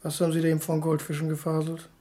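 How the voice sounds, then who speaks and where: An actor playing a bald, hard-eyed, curt and dismissive bartender in a noir radio drama. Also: male voice, fairly high pitch, completely flat delivery, fast talker, mellow, natural voice.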